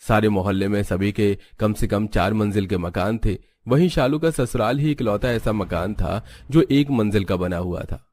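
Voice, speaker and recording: A man reads a scripted cartoon story as its narrator. His voice sits on the low side, and he speaks as a storyteller. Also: normal speed, few pauses, a little mellow, slight background noise.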